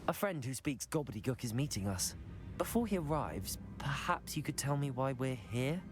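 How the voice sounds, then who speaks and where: A young man voices a student adventurer for a fantasy game. He is helpful and inquisitive, with a medium pitch that moves in a lively way. Soft music runs behind the polite, composed delivery. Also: moderate pace, close to an everyday voice. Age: young adult. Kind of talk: video game acting.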